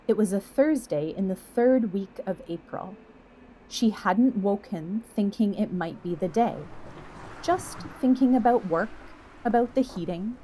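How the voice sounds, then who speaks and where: A woman reading a scripted literary story as narrator, in a measured, reflective manner. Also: mid-range voice, dark tone, slight background noise, low-quality recording.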